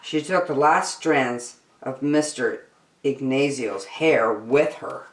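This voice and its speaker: A woman reading a children's picture book aloud as host, deliberately phrase by phrase, in an echoey room. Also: extremely low pitch. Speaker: storytime host and reader. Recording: roomy echo.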